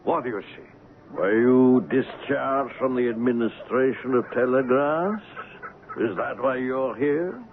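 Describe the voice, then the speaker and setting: A bitter, high-strung colonial ex-soldier played by a male actor with a creaky, gravelly voice at medium pitch. He broods theatrically in old radio-drama style, on a muffled recording with faint noise. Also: a little slow, lightly acted. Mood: bitter, needling. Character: bitter, brooding European ex-army ivory trader, high-strung. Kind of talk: 1970s radio drama acting.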